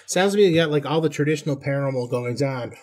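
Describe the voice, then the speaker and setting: A man's voice: mid-range voice, fast, a little mellow. A man, hosting a podcast interview in a chatty, folksy, joking way. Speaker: podcast host. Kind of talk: casual conversational interview.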